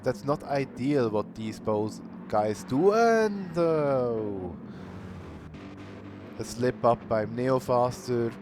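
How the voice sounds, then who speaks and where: Man calling a sim race qualifier, steady and plain, over background noise. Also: medium pitch. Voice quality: calls himself monotonous. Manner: unhurried, plain-spoken, bursts of cheering. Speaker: race commentator. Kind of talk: live sim racing commentary. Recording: noisy stream audio.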